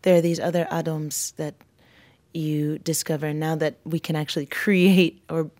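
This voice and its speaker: Low, mellow woman interviewer, rambling questions. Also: little pitch movement, normal speed. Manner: rambling, restarting questions with many you knows. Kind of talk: candid conversation.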